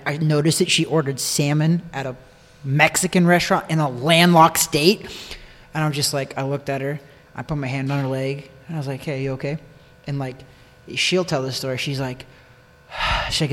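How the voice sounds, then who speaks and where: A man's voice: mid-range voice, fairly even intonation, a little fast, fairly bright tone. An American man telling a personal story in casual conversation, repeating phrases for emphasis. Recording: long echoing reverb.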